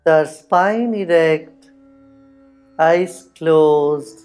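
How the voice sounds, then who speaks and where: Male meditation guide: unhurried and soft-spoken, warm and mellow, with light instrumental music behind. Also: on the high side, smooth. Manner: slow, soft, calm instructions with long pauses.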